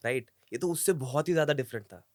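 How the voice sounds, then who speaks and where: A man's voice: a little high, fast talker, no room sound, studio quality. A man hosting a podcast, asking friendly questions and mixing English phrases into his talk. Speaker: podcast host. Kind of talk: interview questions.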